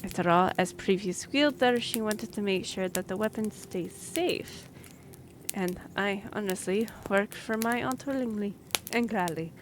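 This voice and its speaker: A woman's voice: mid-range voice, unhurried, natural voice. Woman as young half-elf royal messenger, fantasy audio drama, mid-range voice, earnest, grateful, slightly hesitant, light background ambience. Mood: earnest, explaining. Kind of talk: fantasy audio roleplay, one-sided conversation. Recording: noisy track with background ambience.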